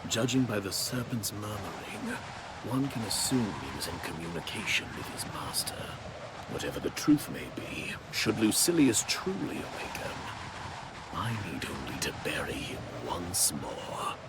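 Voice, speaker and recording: An actor playing a proud, disdainful overlord in a video game, with a breathy gravelly voice and formal, pompous speech. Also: male voice, on the low side, big pitch swings, heavy background noise. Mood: grave, scornful. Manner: pompous, formal, deliberate pronouncements. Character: proud, disdainful dark overlord, archaic and contemptuous. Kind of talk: dramatic video game voice acting.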